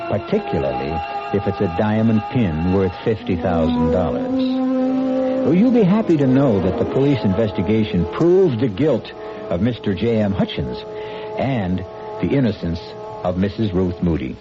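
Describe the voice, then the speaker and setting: A man hosting a 1970s radio mystery show, narrating with wry, deliberate phrasing. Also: on the low side, sing-song. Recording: muffled old radio recording. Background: music.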